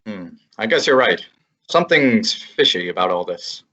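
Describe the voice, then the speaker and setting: A young man voicing a wisecracking male private eye who narrates a radio play, with a brisk, wry manner. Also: fairly low pitch, animated, bright, forceful tone. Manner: brisk, wry, hard-boiled. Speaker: high school drama student. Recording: muffled lo-fi recording.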